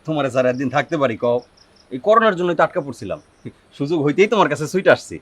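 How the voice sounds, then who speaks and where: An actor playing a troubled, devoted young migrant-worker son in a drama, speaking earnestly with a colloquial rural Bangladeshi accent. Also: male voice, a little high, lively intonation, very fast. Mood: affectionate. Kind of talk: TV drama acting in dialect.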